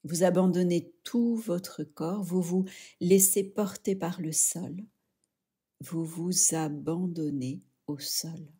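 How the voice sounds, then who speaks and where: Woman doing a yoga nidra meditation, really slow n mellow, soft and soothing, short phrases w pauses. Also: on the low side, fairly even intonation, slightly rough. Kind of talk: guided relaxation meditation.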